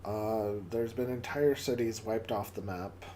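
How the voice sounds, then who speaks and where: A man with a raspy, hoarse voice from days of coughing, talking in a low, mellow tone. He speaks slowly and haltingly, stumbling over and repeating his words as he hosts a casual live stream. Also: slight background noise. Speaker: livestream host. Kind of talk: casual live-stream chat with a closing prayer.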